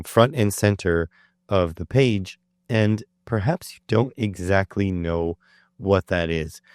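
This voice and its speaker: A middle-aged man with a low, smooth voice that is slightly hoarse today hosts a solo podcast. He explains things in a rambling way, full of uh and um, and he sounds close and dry with no room echo. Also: a little mellow. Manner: rambling, with frequent uh and um restarts. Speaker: podcast host. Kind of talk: solo educational podcast talk.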